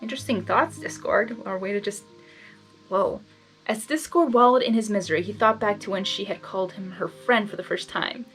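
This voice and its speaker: A woman's voice: medium pitch, normal speed, a bit bright, faint music behind. A woman reading a story aloud, with candid, rambling and informal personal asides. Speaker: fan fiction reader and commentator.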